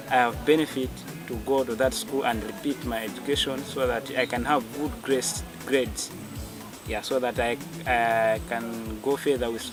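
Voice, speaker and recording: A young man with a Malawian English accent speaks haltingly, with plenty of fillers, as he answers interview questions. His voice sits at an ordinary middle pitch. Music plays clearly under him. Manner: hesitant, many fillers. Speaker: sponsored student. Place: outdoors in a village.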